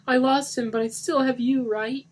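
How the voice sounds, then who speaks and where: A woman reader voicing a distraught young woman who pleads in shrill, sobbing cries. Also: fairly even intonation, normal speed. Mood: pleading, anguished. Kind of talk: amateur story reading.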